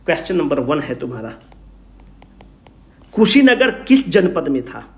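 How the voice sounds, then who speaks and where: A man's voice: fairly high pitch, big pitch swings, a little slow. A man giving an informal exam revision lecture, asking questions and answering them himself while addressing students familiarly. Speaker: exam coaching teacher. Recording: roomy, echoing sound.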